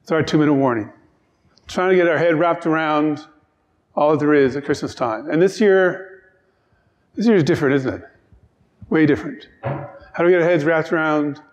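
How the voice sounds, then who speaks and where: A man's voice: a little high, big-room sound, highs cut off. A middle-aged man with a Newfoundland accent, a minister giving an informal, joking talk from the pulpit of a church sanctuary. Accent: Newfoundland English. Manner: chatty, joking, quick asides. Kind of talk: informal children's-time sermon.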